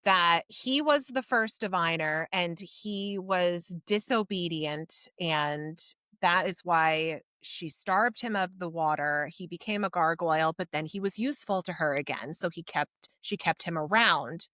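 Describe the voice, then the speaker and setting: Bright-voiced woman in casual book chat. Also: on the low side, a little slow, few pauses, no room sound, studio quality. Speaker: podcast co-host reviewing books.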